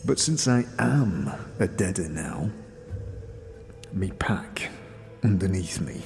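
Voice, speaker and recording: Gruff dead thief, deep and echoing. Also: male voice, very animated, takes it slowly, a little mellow, full character voice. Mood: sly, conspiratorial. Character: crude, bitter, boastful dead thief, a talking corpse. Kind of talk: fantasy audio drama acting. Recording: long reverberant echo, music underneath.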